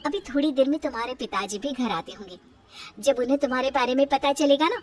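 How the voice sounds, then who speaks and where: A woman's voice: high-pitched, fast, a little mellow, recorded from a distance. A woman voicing a kind, protective, principled village mother in a moral-story cartoon. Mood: tender.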